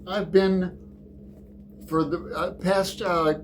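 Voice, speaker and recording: A man hosting his book channel in a casual, rambling chat, halting and restarting his sentences often. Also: high voice, steady tone, a little hiss or hum.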